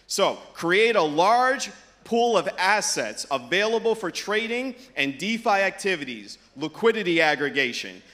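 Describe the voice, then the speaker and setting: A middle-aged man with a high voice delivers an upbeat keynote into a handheld mic on a big stage. His points are punctuated with "all right," and the sound carries a long hall reverb. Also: pushed and bright. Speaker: conference keynote speaker. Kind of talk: presenting a tech keynote. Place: large conference stage. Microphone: handheld microphone.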